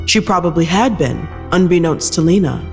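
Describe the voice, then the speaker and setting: A narrator reading scripted audio fiction in a measured storytelling style. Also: medium pitch, animated, vocal fry, big-room sound, faint music behind.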